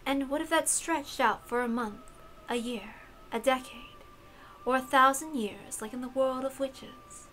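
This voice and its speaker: A woman voicing a solemn, knowing witch in a visual novel, measured and didactic. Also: sits high, fairly bright tone, pitched above the performer's own voice, rough recording. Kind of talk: acted visual novel dialogue.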